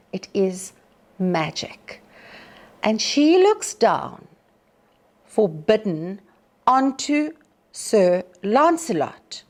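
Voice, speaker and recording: A woman teacher introducing and reading a narrative poem to schoolchildren in a deliberate, expressive way. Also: mid-range voice. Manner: deliberate, expressive, addressing children. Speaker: teacher reading a story to pupils. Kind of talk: storytime introduction and dramatic poetry reading.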